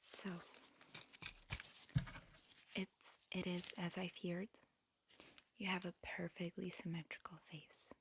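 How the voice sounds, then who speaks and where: A woman voicing a polite alien examiner in human guise, whispering softly and hesitantly in an ASMR roleplay. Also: fairly low pitch, little pitch movement, slow, long pauses, slight background noise. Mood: gently clinical. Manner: soft, hesitant, politely procedural.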